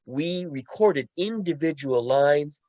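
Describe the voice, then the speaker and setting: A man's voice: a little high, animated, slow, dark tone, dry recording. A man from the United States with a light tenor voice, chatting casually in a panel discussion about acting. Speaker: voice actor in the radio play.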